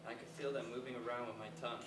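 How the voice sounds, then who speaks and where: A man's voice: mid-range voice, steady tone, racing, close to an everyday voice. Actor as an earnest, hesitant young man, echoey stage. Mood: troubled, recounting. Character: earnest, hesitant young male dropout, quietly reflective. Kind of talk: staged play reading, naturalistic dialogue. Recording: echoey, distant, noisy live stage recording.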